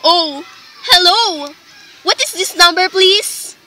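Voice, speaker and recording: Actress as nervous, imperious housewife in radio melodrama; very sharp high voice, slow delivery, faint noise. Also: female voice, full character voice. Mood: alarmed. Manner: overwrought, imperious. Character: nervous, demanding invalid wife, alone and frightened. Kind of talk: melodramatic radio play acting. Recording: faintly noisy amateur recording.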